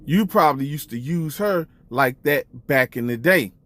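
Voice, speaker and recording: A man's voice: a little high, animated, normal speed, a bit bright. A man giving mocking online commentary, drawing out accusations and teasing rhetorical questions. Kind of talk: mocking commentary, addressing the camera.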